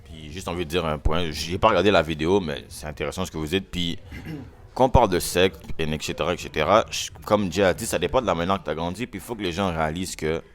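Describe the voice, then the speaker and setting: A man's voice: deep voice, fast talker. A young man from Quebec with a Quebec French accent, a podcast guest explaining his view and often checking in with his listeners. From Quebec, Canada. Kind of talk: casual chat.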